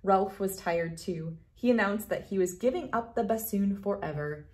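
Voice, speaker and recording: A woman's voice: mid-range voice, moderate pace, darkish tone. A woman in her thirties reading a picture book aloud as a children's read-aloud host. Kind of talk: storybook read-aloud narration. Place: small wood-panelled room indoors.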